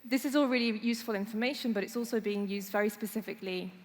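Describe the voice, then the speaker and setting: British female presenter, mid-pitched, steady explainer, reverberant conference room. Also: fast. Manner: steady, explanatory, light fillers. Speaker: conference speaker. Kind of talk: presenting a case study. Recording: echoey conference hall.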